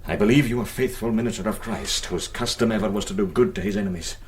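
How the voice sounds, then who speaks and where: Actor as deep-voiced fugitive noble general. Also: male voice, fast, a little hiss or hum, natural voice. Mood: grave. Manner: courtly, commanding, archaic phrasing. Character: defeated noble general on the run, proud and frank. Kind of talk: period radio drama acting.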